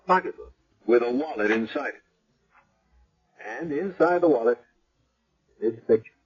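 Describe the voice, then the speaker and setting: A man's voice: mid-range voice, lively intonation, a little slow, natural voice. An actor playing a matter-of-fact man police detective laying out evidence in a 1970s radio drama. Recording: dull lo-fi vintage radio recording.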